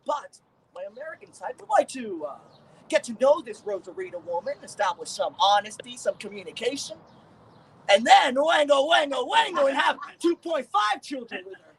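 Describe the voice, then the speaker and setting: A wry, joking man riffing on his two sides, as an actor performs him on stage. His voice is very high, with a stand-up comic's timing. He is heard from afar over faint outdoor noise. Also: fairly bright tone, recorded from a distance, heavily acted, low-quality recording. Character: joking man torn between two cultures.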